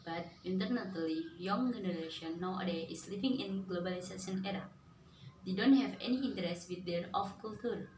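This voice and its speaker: A woman's voice: lowish voice, a little mellow. Young Indonesian-accented woman, echoey distance. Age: young adult. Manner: quick, with many restarts. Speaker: student speaker. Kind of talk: formal memorized speech. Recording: roomy echo, from far off, faint noise.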